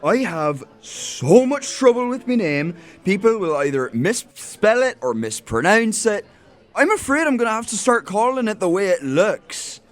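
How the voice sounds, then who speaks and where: An actor in an audio drama playing a sly, genteel criminal mastermind with a suave, mock-polite manner. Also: male voice, sits high, very animated, measured pace. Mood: exasperated, grand. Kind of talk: audio theatre acting.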